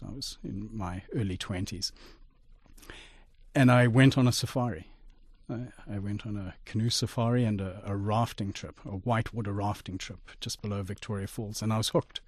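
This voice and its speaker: A middle-aged man from Zimbabwe, a radio interview guest telling his life story in an articulate, measured way. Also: on the low side, husky, dry recording.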